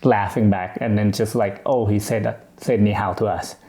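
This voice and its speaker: A man's voice: on the low side, steady tone, normal speed, mellow, reverberant. A man from Thailand whose first language is Thai, co-hosting a casual podcast and speaking frankly and emphatically. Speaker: podcast co-host.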